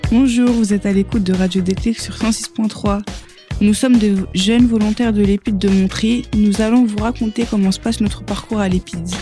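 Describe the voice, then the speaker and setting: A woman's voice: medium pitch, fairly even intonation, normal speed, over music. An eighteen-year-old woman presenting a youth radio segment and asking her peers questions plainly.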